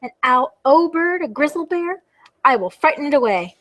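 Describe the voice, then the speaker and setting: A woman voicing a little storybook dragon, boastful and easily startled, with grandiose drawn-out declarations. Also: high-pitched, lively intonation, a bit bright, no echo, voice pushed up. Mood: alarmed. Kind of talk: storybook read-aloud.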